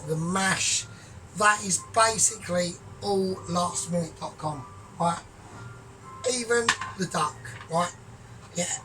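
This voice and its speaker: A man talking through his cooking in a rambling, grandiose, sweary way, often trailing off quiet. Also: high voice. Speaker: home cook vlogger. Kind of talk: casual cooking vlog. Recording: distant mic with music behind.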